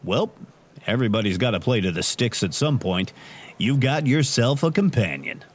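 An actor playing a grandiose, sentimental radio host in an audio drama, with a pompous, melodramatic delivery. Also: male voice, medium pitch, animated, normal speed, breathy edge. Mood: wry, grandiose.

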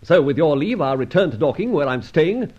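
Suave British gentleman adventurer, huskily animated. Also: fairly high pitch, brisk, breathy edge. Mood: coolly indignant, polite. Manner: well-spoken, wordy, ironically polite. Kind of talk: old radio serial acting. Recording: muffled old radio serial recording.

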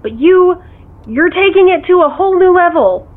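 A woman's voice: very high-pitched, animated, normal speed. An actress playing a blunt, exasperated but caring woman scolding her partner over a phone in an audio drama. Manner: blunt, reproachful. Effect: phone call filter. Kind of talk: naturalistic audio drama dialogue. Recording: thin, band-limited phone line.

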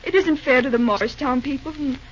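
An actress playing a sweet, teasing young bride-to-be in an old-time radio drama, genteel and expressive, with a gently strong will. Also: female voice, sits high, brisk, natural voice. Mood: flustered protest. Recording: dull, noisy vintage radio recording.

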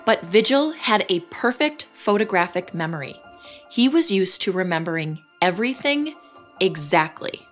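Lively woman narrating a drama. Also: mid-range voice, soft background music. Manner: measured storytelling. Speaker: narrator and host. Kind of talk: scripted narration.

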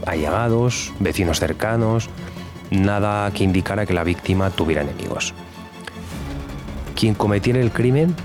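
A man plays a small-town civil guard, telling of his cold case as interview testimony in an audio drama. He is reflective and conversational, hesitating as he goes, with faint music underneath. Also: low voice. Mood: reflective, puzzled. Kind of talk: documentary-style interview testimony.

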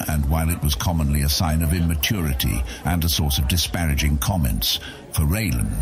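A man narrating a fantasy audiobook as a scripted story, with a gravelly, husky voice. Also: extremely low pitch.

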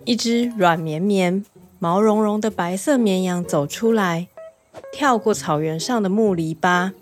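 Woman narrator, gentle bedtime tale, soft music underneath. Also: medium pitch, a little slow. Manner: gentle, storytelling with lively exclamations. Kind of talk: children's bedtime story narration.

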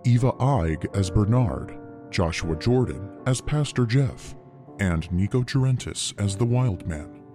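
Music plays clearly behind a man with a deep voice. He reads scripted end credits as the announcer of an audio drama. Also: expressive, measured pace.

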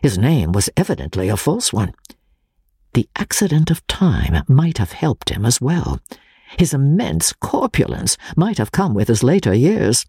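A man narrating classic literature in the first person as a dramatic reading, in a measured, formal, deliberate manner. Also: medium pitch, wildly swooping pitch, warm tone, slightly rough, no echo, clean studio sound. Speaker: audiobook narrator.

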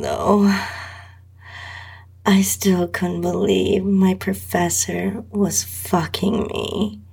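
A woman reads an intimate first-person adult story as its narrator. Her voice is extremely breathy and often close to a whisper, with a gravelly fry in it. She takes it very slowly, with a sultry, drawn-out delivery. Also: on the low side, a little mellow. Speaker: podcast host and story narrator.

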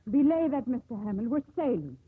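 An actress playing a strong-willed young ship captain in a 1950s radio drama, speaking in a crisp, commanding way. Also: female voice, on the high side, big pitch swings, a little fast, heavily acted. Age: young adult. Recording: muffled old 1950s radio recording.

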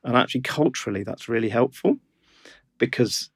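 A man with a gravelly voice speaks as a guest in a podcast studio. He explains thoughtfully, reaching for examples and restarting phrases as he thinks. The sound is close and dry. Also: mid-range voice, a little fast, no room sound. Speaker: digital director of a bookseller, podcast guest. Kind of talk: conversational interview.

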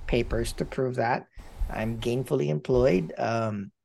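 A man giving personal updates in a casual chat, rambling and halting with many fillers and casual swearing. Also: mid-range voice, creaky, a little mellow, slight background noise, low-quality recording.

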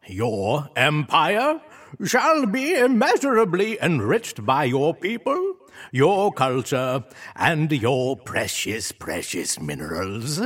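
Pompous, slow, wildly swooping fantasy emperor. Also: male voice, fairly high pitch, a bit bright, full character voice. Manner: pompous, declamatory speech-making. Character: grandiose conquering emperor, pompous older father. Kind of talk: audio comedy drama acting.

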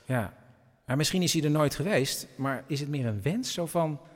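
An actor playing an earnest, musing grown son in an audio drama, friendly, inquisitive and slightly pedantic. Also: male voice, fairly high pitch, lively intonation, normal speed. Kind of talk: naturalistic Dutch audio drama dialogue.

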